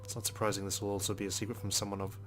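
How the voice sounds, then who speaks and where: An actor playing a dogged, skeptical male police detective in a visual novel game, speaking in a measured, serious, matter-of-fact way over music. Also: lowish voice, completely flat delivery, a bit bright, lightly acted. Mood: brooding, resolute. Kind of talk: scripted visual novel narration and dialogue. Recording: music behind the voice.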